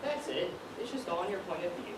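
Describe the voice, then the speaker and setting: Distant, echoey meek gentleman acted by a man. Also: high-pitched, very animated, fast talker. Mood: agreeable. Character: meek, hapless gentleman sidekick, mild and pleading. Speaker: stage actor in a one-act play. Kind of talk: amateur stage acting. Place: theatre stage, distant microphone. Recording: echoey, noisy stage recording from afar.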